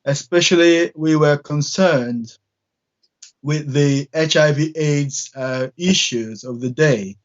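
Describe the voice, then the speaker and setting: A middle-aged man from Nigeria, a church minister, speaks in a somewhat high voice over a dull, lo-fi call line. He talks in measured phrases with frequent pauses and fillers, as an interview guest telling his story.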